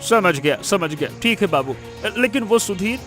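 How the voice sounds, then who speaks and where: A man's voice: high-pitched, animated, moderate pace, fairly bright tone. A man voicing a frightened, servile henchman in a cartoon moral story, stammering and pleading as he talks. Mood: cowed, submissive. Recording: background music under the voice.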